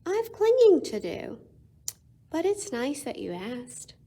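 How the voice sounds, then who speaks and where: A woman's voice: sits high, big pitch swings, takes it slowly, dark tone, full character voice. A woman voicing a timid, stubborn young male koala for a storybook, hesitant, polite and anxious.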